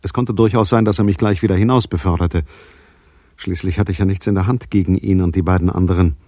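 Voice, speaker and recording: An actor playing a shrewd, cool-headed private detective in a radio crime play, husky and matter-of-fact. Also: male voice, low voice, fairly even intonation, fast, mellow, no echo, clean studio sound. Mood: terse narration. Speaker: lead detective and narrator. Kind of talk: 1980s German radio play acting and first-person narration.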